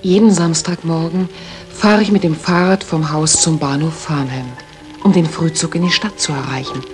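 A woman's voice: low-pitched, steady tone, moderate pace, darkish tone, soft background music, lightly acted. An actress playing a worried, polite young lady in a German radio drama, recounting carefully and earnestly.

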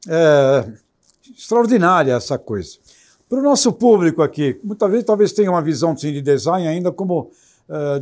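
A man's voice: fairly high pitch, a little mellow. An older man with a Brazilian accent hosting a broadcast interview in an effusive, emphatic way. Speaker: interview host. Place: indoor broadcast studio.

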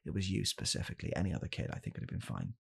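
A young man playing a blunt, callous father in an ASMR comedy roleplay, speaking softly and haltingly in a deadpan way. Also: deep voice, gravelly, husky, pitched above the performer's own voice, exaggerated voice. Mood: deadpan, bleak. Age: young adult. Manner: soft-spoken, halting, deadpan. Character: blunt, callous father calmly blaming his child. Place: small home room, indoors.

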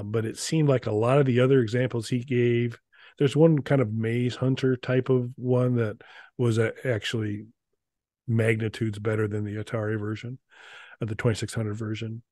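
Halting middle-aged man chatting casually. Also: lowish voice, mellow, dry recording. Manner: halting, rambling, wry. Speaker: co-host of a retro gaming show. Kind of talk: casual unscripted chat. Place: small indoor room.

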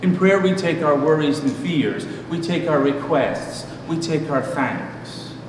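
A middle-aged man preaching a sermon as a pastor, in a measured, deliberate manner with pauses. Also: a little high. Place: church room at a pulpit. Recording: distant mic, echoey hall, noisy background.

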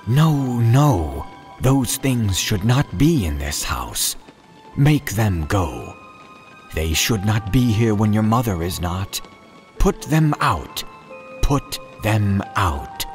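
A man's voice: lowish voice, very animated, takes it slowly, faint music behind, pitched above the performer's own voice. A man voicing an anxious, scolding pet fish in a storybook, fretful and urgent. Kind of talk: storybook read-aloud.

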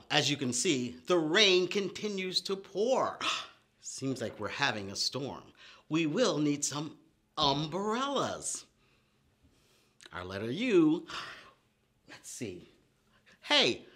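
A man's voice: on the high side, pushed and bright. A middle-aged man hosting preschool storytime, teaching with exaggerated, simple, repetitive phrasing for small children. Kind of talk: preschool lesson with chants and counting rhymes. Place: indoor storytime room with painted paper backdrop.

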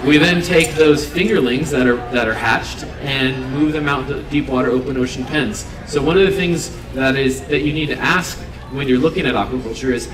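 A man in his thirties speaks earnestly to an audience at an outdoor stage, pausing with fillers and starting his sentences over. He is picked up from a distance, so his voice sounds roomy. A crowd murmurs clearly behind him. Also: mid-range voice. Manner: earnest, unscripted, with frequent fillers and restarts. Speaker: seafood company representative. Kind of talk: live demo talk to an audience. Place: outdoor event stage under trees.

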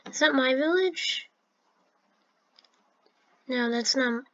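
A woman's voice: high-pitched, slow, fairly bright tone, dull audio. A woman giving casual, chatty commentary over her own gameplay, with fillers and restarts. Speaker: gameplay narrator.

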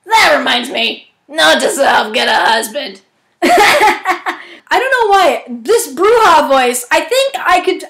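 Young woman, high voice, giggly and excitable, chatting casually in an echoey room. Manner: giggly, self-deprecating, excitable. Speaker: amateur voice actor auditioning. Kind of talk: casual self-commentary between takes.

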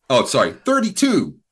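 A man putting on a loud Texan accent, ordering burgers. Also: mid-range voice, husky, voice pushed up, exaggerated voice. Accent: Texan (put on).

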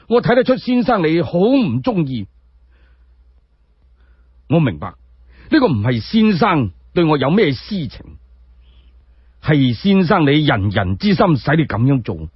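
A man's voice: fairly high pitch, very animated, a little slow, pauses often, slightly rough, no room sound, exaggerated voice. A man with a Cantonese accent voicing an earnest, grateful former convict in a solemn, respectful manner, as part of told storytelling. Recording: dry, dull lo-fi audio.

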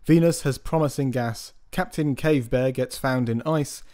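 A man narrating a scripted science news roundup in a measured, even voice-over. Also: mid-range voice. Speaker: news presenter.

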